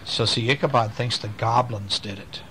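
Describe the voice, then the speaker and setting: A man's voice: lowish voice, steady tone, moderate pace, bright, forceful tone. A man reading a story aloud to a small child, expressively, breaking off to explain things and ask questions. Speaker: parent reading a bedtime story. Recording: noisy amateur home recording.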